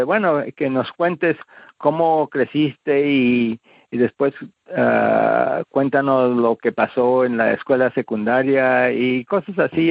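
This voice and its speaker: A man with a gravelly voice hosts an interview, hesitating and filling his sentences with little sounds. The line is tinny and phone-like, and the sound is dry. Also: medium pitch, no room sound. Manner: hesitant, with many fillers. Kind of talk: casual interview.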